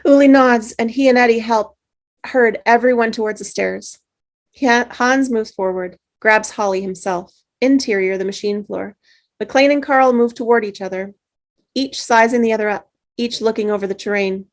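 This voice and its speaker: A woman's voice: on the high side, normal speed. Woman reading stage directions.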